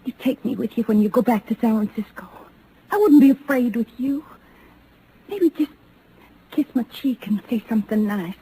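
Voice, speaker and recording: A woman's voice: fairly high pitch, moderate pace, pauses often, warm tone, lightly acted. An American woman playing a hot-tempered young frontier gunslinger in a radio western, with folksy, ungrammatical, combative talk. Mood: pleading, vulnerable. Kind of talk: old-time radio western acting. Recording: noisy old radio drama recording.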